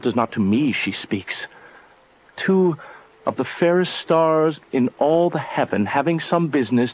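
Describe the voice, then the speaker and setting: An actor playing a lovestruck young man in Shakespearean verse, speaking with tender, rapturous and measured delivery. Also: mid-range voice, poor audio. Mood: yearning wonder. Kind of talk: slow dramatic verse acting.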